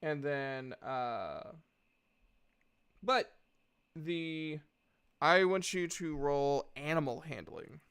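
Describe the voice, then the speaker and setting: A man improvising tabletop game narration as game master, halting often as he searches for words. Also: a little high, animated, fairly bright tone, clear, resonant tone.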